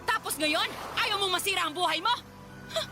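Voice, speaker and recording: A woman's voice: very high voice, moderate pace, loud music behind the voice. An actress playing a furious, protective middle-aged Filipino mother, scolding and confrontational in a radio drama. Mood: enraged.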